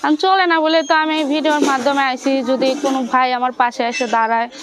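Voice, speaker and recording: A woman's voice: sits high, fast talker, crowd noise. A young woman with a Bangladeshi accent answering informally in an interview about her own life, often checking that the listener follows. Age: young adult. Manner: repeatedly checks listener understands. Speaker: interview guest, domestic worker.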